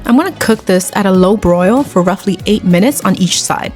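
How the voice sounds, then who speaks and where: Female cooking-channel host, chatty and conversational, mid-pitched voice, faint music underneath. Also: animated, normal speed, darkish tone. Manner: chatty and conversational, with personal asides. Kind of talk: cooking tutorial with personal chat. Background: faint background music.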